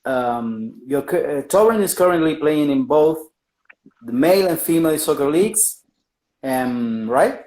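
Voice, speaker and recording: A man's voice: fairly high pitch, lively intonation, measured pace, a little mellow, roomy sound. A man from Argentina with an Argentine accent, a native Spanish speaker, hosting a casual live interview and stopping often to search for English words.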